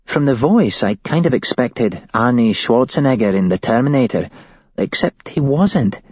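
A man's voice: medium pitch, expressive, moderate pace, darkish tone, husky, dry recording, muffled recording, voice pushed up. A man voicing a shaken young woman who was held hostage, recounting it shakily and at a tumble. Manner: shaky, tumbling recollection.

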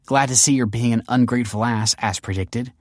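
A man's voice: mid-range voice, a little fast, dry recording, studio quality, close to an everyday voice. A man voicing an exasperated, scornful adult daughter in an audiobook story, sarcastic and impatient.

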